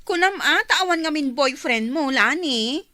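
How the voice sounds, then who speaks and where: A woman's voice: high voice, expressive, measured pace, pushed and bright, heavily acted. A woman with a Filipino accent playing a gossipy, teasing female friend in a radio drama, exclaiming nosily. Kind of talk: Ilocano radio drama acting.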